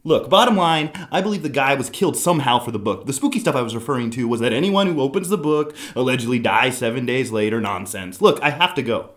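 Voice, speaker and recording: A man playing a gruff, evasive police detective in an audio drama, talking in clipped, slangy noir style. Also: medium pitch, animated, fast, hardly any pauses, fairly bright tone, close to an everyday voice. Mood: evasive, edgy.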